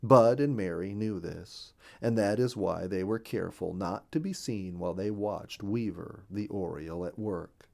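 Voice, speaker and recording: A man reading a children's nature story aloud as an expressive, careful storyteller. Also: low voice, measured pace, vocal fry, hardly any pauses.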